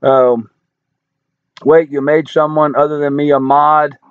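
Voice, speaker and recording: Older male live-stream host, hoarse and folksy, dry lo-fi sound, slightly high voice. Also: little pitch movement, a little slow, no echo. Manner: chatty, greets viewers by name, folksy. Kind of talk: casual live chat reading viewer comments. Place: home in rural Alaska.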